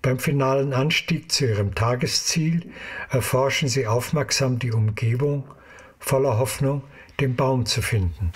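A man narrates an audio drama as its storyteller. His voice sits at a medium pitch and has a husky edge. The delivery is scripted and narrative. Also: unhurried.

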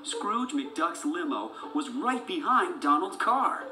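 Animated male storybook narrator. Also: sits high, slow, echoey room, loud music behind the voice. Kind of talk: scripted children's storybook narration.

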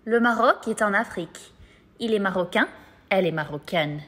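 A woman reading scripted lesson narration with careful, measured enunciation. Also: medium pitch, a bit bright, breathy edge.

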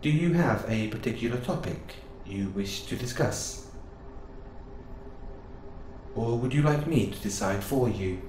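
A man playing a gentle, curious robot assistant who speaks in formal, precise phrases, close and intimate in an audio roleplay. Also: mid-range voice, lively intonation, measured pace, pauses often, natural voice. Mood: gently curious. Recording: roomy sound with clear background noise.

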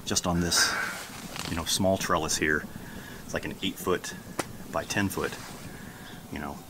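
A middle-aged American man giving a casual, unhurried, rambling garden tour, recorded outdoors on a handheld camera. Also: deep voice, steady tone, noisy background. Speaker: homesteading vlogger. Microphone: camera held at arm's length.

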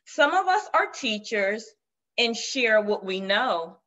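Slow gentle woman reading a picture book. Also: on the high side, sing-song. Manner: slow, gentle read-aloud. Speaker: guest storyteller. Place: room with shelves of children's books. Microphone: video call. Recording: dull lo-fi video call audio.

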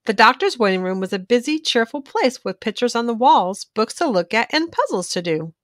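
Lively, higher-pitched woman reading a storybook. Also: a little fast, no room sound, studio quality. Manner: animated, clearly paced storytelling for young children. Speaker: children's storybook reader. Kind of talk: expressive scripted read-aloud narration.

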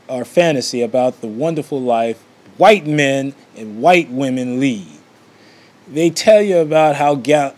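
Slow, halting man reading aloud. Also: a little high, darkish tone. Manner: halting, often stumbles and rereads phrases. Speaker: reader of a book aloud. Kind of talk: amateur read-aloud of a book.

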